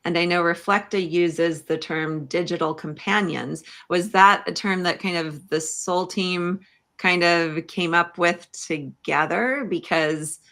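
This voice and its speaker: A woman's voice: fairly low pitch, fairly even intonation, measured pace, a bit bright. A woman hosting a conversational livestream interview, putting questions to a guest. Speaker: podcast livestream host.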